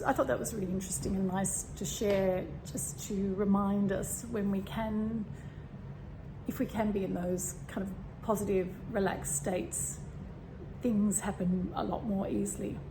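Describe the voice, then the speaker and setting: A woman with a slightly croaky voice talks in a loose, meandering way, often pausing on fillers and clearing her throat. Her pitch sits in a middle range for a woman. A fan hums plainly in the background of her home recording. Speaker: spiritual vlogger. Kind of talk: casual spiritual talk. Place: home room with a fan running. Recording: steady fan hum behind. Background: fan noise.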